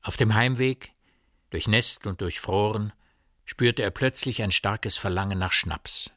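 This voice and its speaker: A man narrating a radio drama as a literary storyteller, in a measured manner. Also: fairly low pitch, sharp tone, no echo, studio quality. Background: music.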